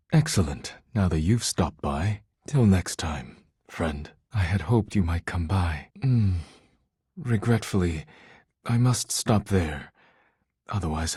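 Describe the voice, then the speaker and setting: A proud, earnest video game character with a low, very breathy and husky voice. He speaks formally, choosing his words with measured precision. The sound is close and dry. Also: warm tone, no echo, full character voice. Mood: tender, affectionate. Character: formal, proud, earnest man devoted to his work and art.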